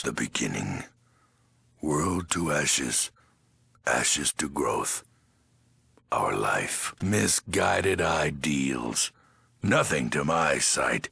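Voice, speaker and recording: An actor playing a grim, bitter mutant mystic ruler in a video game, speaking in slow, cryptic fragments with a raspy, guttural voice. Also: male voice, rumbling low, bright, forceful tone, dry recording, clean studio sound, exaggerated voice. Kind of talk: video game character acting.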